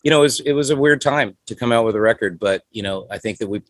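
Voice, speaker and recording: Casting: man in his forties, lowish voice, loose rambling interview talk, dry close mic. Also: quick pace, no room sound. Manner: rambling, many "you know" fillers. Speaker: guest musician. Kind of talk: casual interview chat.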